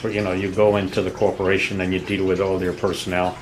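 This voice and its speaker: A woman's voice: a bass voice, flat delivery, quick pace, darkish tone, slight background noise, low-quality recording. A woman interviewing a guest, affirming her and summing up her points before asking questions.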